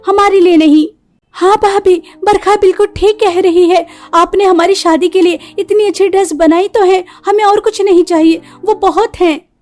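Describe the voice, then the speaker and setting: Woman plays devoted young cartoon bride-to-be, very high, emotional, pleading, music behind. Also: a little mellow. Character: grateful, devoted young bride-to-be sisters-in-law. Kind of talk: cartoon story dialogue.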